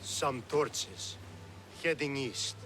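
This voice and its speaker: An actor playing a nervous, rambling guard who babbles excuses in a video game. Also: male voice, mid-range voice, drawn-out words, noisy background. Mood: sheepish. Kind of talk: comic video game voice acting.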